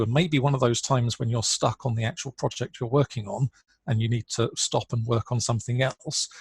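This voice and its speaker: A man giving an online conference talk in a relaxed, conversational way, with fillers, over a video call. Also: medium pitch, gravelly, husky, no room sound. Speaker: conference speaker.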